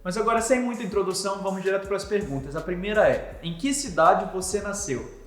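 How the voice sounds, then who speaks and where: A man's voice: high voice, brisk. A young man from Manaus with a Manaus Brazilian Portuguese accent, answering viewer questions in a chatty, earnest vlog. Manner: chatty, earnest, religious talk. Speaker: vlogger and missionary. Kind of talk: casual Q&A vlog. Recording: echoey room with long reverb, faint music.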